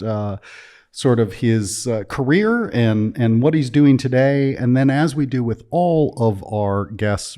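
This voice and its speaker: A man's voice: medium pitch, dark tone. A man hosting a podcast, reading a friendly scripted intro and then chatting casually with his guest, with some ums. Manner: friendly, reads promos crisply, then loose with fillers. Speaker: podcast host.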